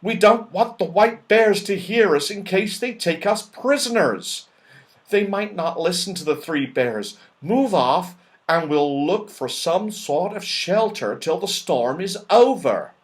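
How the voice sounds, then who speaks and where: Storybook leader, anxious and bossy, male narrator acting, raised pitch, very animated delivery. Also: measured pace. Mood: urgent caution.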